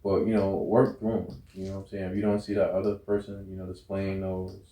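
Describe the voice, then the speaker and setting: American guy w low gravelly voice, just talking honest and kinda rambly, echoey room. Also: flat delivery, fairly quick, dark tone. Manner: rambling, repeats "you know what I'm saying". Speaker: interview guest. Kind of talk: casual, candid conversation about a relationship. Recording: roomy, echoey sound.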